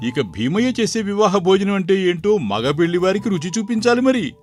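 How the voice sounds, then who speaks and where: A man's voice: sits high, sing-song, brisk, voice pushed up. Guy doing a village rich dad in a story cartoon, chatty, kinda patronising, light music. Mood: pleased, expansive. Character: well-off village father hiring wedding cooks. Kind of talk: cartoon moral-story dialogue.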